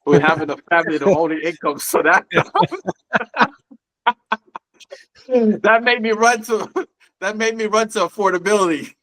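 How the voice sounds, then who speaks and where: Guest investor from Guyana, young adult man, slightly high voice, casual and relaxed, restarts his phrases. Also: measured pace, pauses often. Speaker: podcast guest, real estate investor. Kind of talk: casual conversation.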